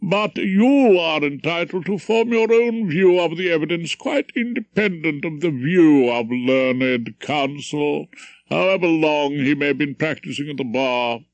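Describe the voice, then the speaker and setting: A man's voice: fairly high pitch, dry recording, voice pushed up. A man doing a pompous old judge. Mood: condescending. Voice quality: pompous, measured. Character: pompous condescending older male judge.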